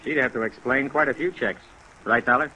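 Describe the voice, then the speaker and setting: A plainspoken sheriff's deputy, talks at an average male pitch. He is matter-of-fact and unhurried, in a clearly noisy 1950s radio drama recording. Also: bright, forceful tone. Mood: firm.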